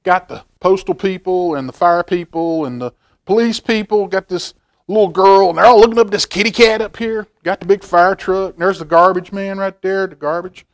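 A middle-aged man reading a picture book aloud to children in a folksy, expressive way, with chatty asides. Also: high-pitched, moderate pace. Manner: folksy, expressive, asking the listener questions. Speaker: library storytime reader. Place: indoor library children's room.

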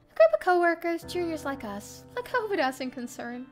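A young woman streamer reading a visual novel aloud as expressive first-person story narration. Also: very high-pitched, fairly quick. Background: game music.

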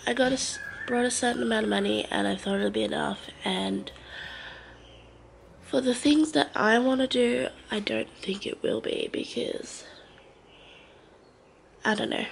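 Congested young Australian woman vlogging slowly. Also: on the high side, gravelly, slight background noise. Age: young adult. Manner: rambling, hesitant, many fillers. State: congested, blocked nose. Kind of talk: casual vlog chat to camera. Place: living room of a house, indoors. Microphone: handheld camera at arm's length.

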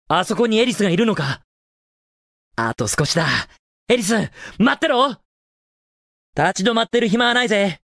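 A man's voice: high-pitched, creaky, a bit bright, dry recording, clean studio sound, exaggerated voice. A male actor voicing a cocky, daring young cartoon hedgehog hero in a video game, with cool, confident, casual delivery. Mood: urgent, determined. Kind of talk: video game voice acting, short in-game lines.

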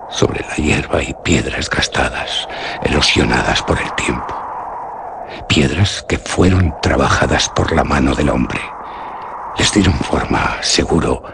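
A very deep, haunted, brooding wanderer. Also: male voice, gravelly, noisy background, heavily acted. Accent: Castilian Spanish. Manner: brooding, hushed, halting, with dramatic pauses. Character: haunted, feverish man lost among ancient graves. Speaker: radio drama actor. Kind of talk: dramatic radio theatre monologue.

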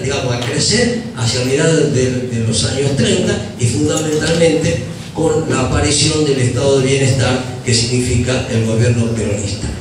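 A man's voice: fairly high pitch, recorded from a distance. Man lecturing at a panel. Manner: lecturing, explanatory. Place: university hall, panel table. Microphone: handheld mic, far camera. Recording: roomy hall from afar, background noise.

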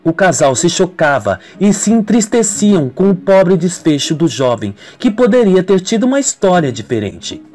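A man's voice: high-pitched, very animated, moderate pace, hardly any pauses, a little mellow. A man narrating a scripted radio soap opera as a dramatic storyteller. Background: music.

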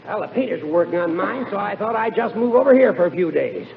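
An actor playing a crafty, wheedling old judge, mock-innocent, in theatrical 1940s radio comedy style. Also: male voice, high voice, expressive, quick pace, creaky. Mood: brazen. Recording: tinny, echoey, crackly 1940s radio recording.

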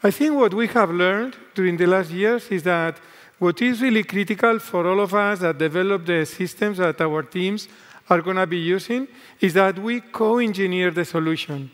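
Spanish-accented man in a reverberant hall. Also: high voice. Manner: earnest, deliberate corporate speech. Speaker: tech executive guest on stage. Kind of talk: onstage keynote conversation. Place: large conference stage. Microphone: headset mic.

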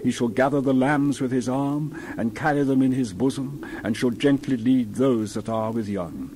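A man's voice: medium pitch, normal speed, mellow, slight background noise. A man reading the Bible aloud in a dramatized style, with solemn, theatrical, emphatic declamation. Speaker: Bible reader.

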